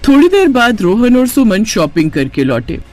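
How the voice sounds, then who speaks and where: A man's voice: high voice, wildly swooping pitch, moderate pace. A man reading scripted narration for a cartoon family story.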